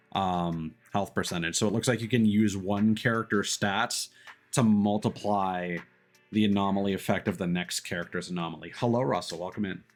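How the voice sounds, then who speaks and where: A man giving casual live game commentary, thinking aloud in an analytical, hesitant way. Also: lowish voice. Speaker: streamer playing a game. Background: faint game music.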